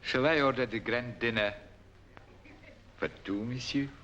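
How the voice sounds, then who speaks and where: An actor playing a suave, obsequious and formal nightclub head waiter in a 1940s film. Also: male voice, mid-range voice, unhurried, a bit bright, dull audio, natural voice. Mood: unctuous, formal. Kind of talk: 1940s film noir acting. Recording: faintly hissy 1940s film soundtrack.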